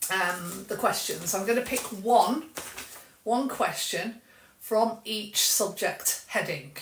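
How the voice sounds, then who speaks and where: A woman from England with a softened Mancunian accent, teaching English in a live stream and chatting with viewers, often restarting her sentences. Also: mid-range voice, roomy sound, distant mic.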